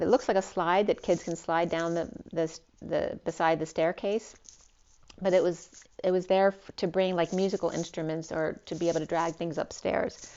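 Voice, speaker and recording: Woman tarot reader, smooth and lowish. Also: darkish tone, muffled recording. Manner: rambling, free-associating, many restarts. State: losing her voice. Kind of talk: spiritual tarot reading.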